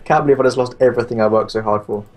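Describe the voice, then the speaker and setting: Fast-talking young British man, swearing. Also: medium pitch, darkish tone. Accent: British English. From Guernsey. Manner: swears, grumbles, quick comebacks. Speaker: gamer playing multiplayer strategy. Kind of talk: casual gameplay chat. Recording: faint game music behind.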